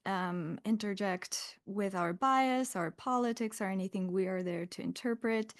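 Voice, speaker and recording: A woman moderating a webinar, reading attendee questions aloud and speaking directly about interpreter ethics. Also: mid-range voice.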